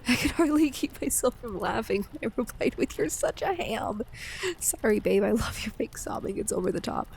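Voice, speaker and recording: A woman playing an affectionate, playful wife and mother in an intimate audio roleplay, speaking in a gentle, teasing way. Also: fairly high pitch, animated, normal speed, vocal fry, slight background noise.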